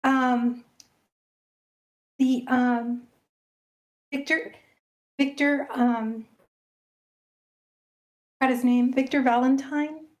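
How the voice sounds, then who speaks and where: A woman's voice: high voice, fairly even intonation, very slow, pauses often, darkish tone, rough recording. A woman screenwriter chatting casually, with a polite and hesitant way of talking. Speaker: screenwriter at a table read. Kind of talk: casual chat. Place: online voice meeting.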